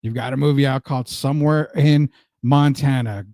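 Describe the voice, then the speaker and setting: A man's voice: medium pitch, fairly even intonation, moderate pace, warm tone, no room sound, clean studio sound. An American man hosting a podcast, chatting through promotional patter and introducing his guest in an upbeat interview style. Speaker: podcast host.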